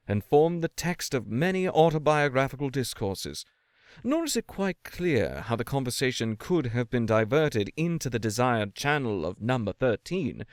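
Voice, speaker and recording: A man's voice: mid-range voice, no echo, clean studio sound. A man giving a dramatic reading of a literary tale as the narrator. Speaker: story reader.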